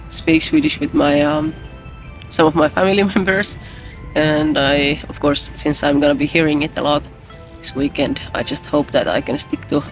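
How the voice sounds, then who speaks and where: Gravelly young man vlogging. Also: on the high side, poor audio. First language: Finnish. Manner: hesitant, many ums and uhs. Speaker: convention artist vlogger. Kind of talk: casual travel vlog.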